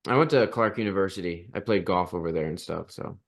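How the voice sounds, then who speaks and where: A man in his twenties answers briefly and in an easygoing way. His voice sits low for a man. He is a guest in a casual conversation. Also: fast, vocal fry. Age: 20s. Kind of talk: casual chat.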